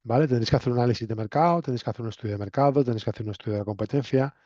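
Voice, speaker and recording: A man's voice: medium pitch, rapid-fire, mellow. A man from Spain with a Castilian accent, lecturing to students in a conversational, didactic way, often checking in with short tag questions. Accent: Castilian Spanish. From Spain, La Mancha. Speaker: university lecturer. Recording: roomy echo, dull lo-fi sound.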